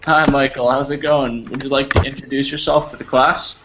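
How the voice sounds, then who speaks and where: A man teaching an English class over a video call, patient and encouraging, explaining slowly with examples. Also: medium pitch, fairly even intonation. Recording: slightly dull audio with faint noise.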